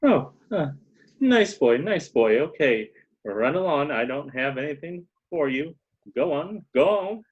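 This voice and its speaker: A man's voice: on the high side, big pitch swings, measured pace, breathy edge, dull audio, exaggerated voice. A man at an informal table read playing a frantic, irritable computer programmer who mutters exasperatedly to himself. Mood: coaxing, uneasy.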